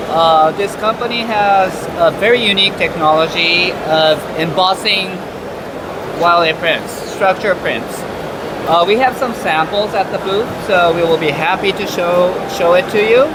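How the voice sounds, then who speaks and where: Hesitant Japanese man, noisy event. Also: sits high. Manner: hesitant, many pauses and uhs. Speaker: company executive. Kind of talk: panel talk at a trade event. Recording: loud background noise.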